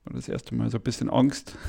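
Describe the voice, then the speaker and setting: A man's voice: medium pitch, flat delivery, normal speed, creaky, dark tone. A man hosting a podcast interview, asking questions hesitantly with many fillers. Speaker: podcast host.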